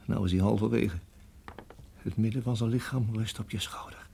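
An actor playing a tense husband in a radio drama, speaking in a hushed, halting, urgent way with a husky voice. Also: male voice, fairly low pitch, fairly even intonation, a little hiss or hum. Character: tense husband guiding wife through deadly snake danger. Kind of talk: radio drama acting and narration.

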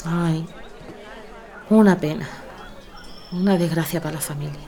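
A woman's voice: low voice, unhurried, mellow, some chatter behind. A woman playing a sorrowful, concerned neighbour in a radio drama.

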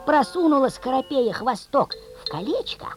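A folksy fairy-tale storyteller in an audio play. The delivery is singsong and rustic, high-pitched and wildly animated, over clear music. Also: female voice, moderate pace. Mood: folksy storytelling. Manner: singsong, expressive folk narration. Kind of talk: theatrical folk-dialect storytelling. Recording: music behind the voice.

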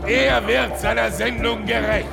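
Fanatical doomsday prophet, radio drama, very slow declamatory preaching, long hall reverb, loud music behind. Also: male voice, very high voice, fairly even intonation, creaky, exaggerated voice. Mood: fervent, solemn. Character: fanatical apocalyptic prophet preaching repentance to a crowd.

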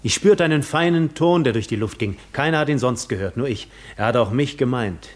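A man's voice: medium pitch, animated, a little fast, close to an everyday voice. An actor playing a pensive seafaring man who tells his own story in a 1950s radio drama. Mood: reflective.

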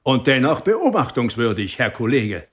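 A man's voice: mid-range voice, big pitch swings, normal speed, husky. A man acting a stiff, self-important physician in a German radio drama, formal and pointedly critical. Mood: accusing.

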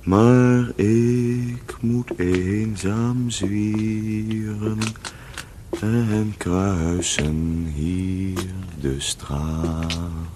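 An actor playing a lonely lovesick young poet in a radio play, speaking rhymed verse in a measured, mournful way. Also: male voice, lowish voice, steady tone, background noise. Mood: lovelorn. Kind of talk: 1970s radio drama verse recitation.

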